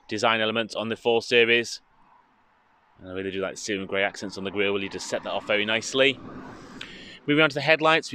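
A British man giving an informative, steady walkaround presentation as a car dealership presenter, speaking into a handheld phone outdoors. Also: fairly low pitch. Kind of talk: walkaround product presentation, semi-improvised commentary. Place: outdoors in a car park, partly inside a car.

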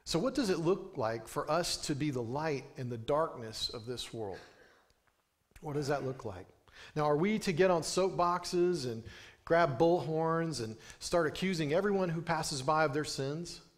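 A man's voice: on the high side, animated, measured pace. A man in his thirties, a pastor giving a sermon from a pulpit microphone in a small church, telling stories in an earnest way and lifting his voice for emphasis. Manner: earnest storytelling with emphatic rising lines. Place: small church sanctuary, indoors.

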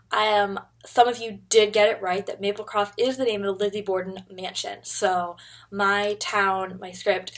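Husky-voiced young woman vlogging. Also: medium pitch. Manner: chatty, rambling, animated hand gestures. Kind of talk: casual chat to camera. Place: bedroom, indoors. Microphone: webcam at desk.

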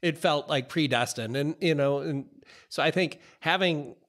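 A man in his forties with an American accent and a slightly high voice talks casually on a podcast. He tells stories in an animated way, playing out the dialogue as he goes. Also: normal speed. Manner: animated storyteller acting out quoted exchanges. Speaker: podcast host and film director. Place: indoor office, on a video call. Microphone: close boom-arm podcast mic.

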